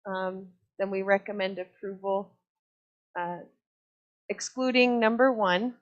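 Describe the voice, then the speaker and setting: A woman's voice: mid-range voice, slow. A woman presenting staff recommendations at a public planning meeting. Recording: dull lo-fi meeting audio.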